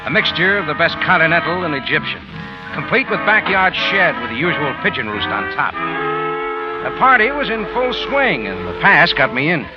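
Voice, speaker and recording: Hard-boiled American sleuth, animated. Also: male voice, fairly high pitch, moderate pace. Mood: terse narrating. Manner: hard-boiled, wry, slangy. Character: tough, wry American private-eye hero narrating his case. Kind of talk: old-time radio drama narration and dialogue. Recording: lo-fi old radio recording with music.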